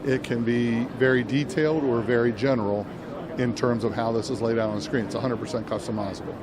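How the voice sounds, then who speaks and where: A man's voice: medium pitch, fairly even intonation, quick pace, over a noisy crowd. A man giving unscripted product demo commentary as an interviewer, explaining and rephrasing as he goes. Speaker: interviewer at a trade show. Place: indoor trade show booth. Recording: noisy trade show floor.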